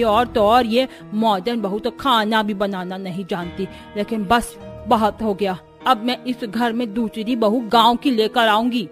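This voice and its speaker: A woman's voice: fairly high pitch, a bit bright. A woman voicing a stern, traditional old grandmother-in-law who scolds in disapproval, in a cartoon family drama.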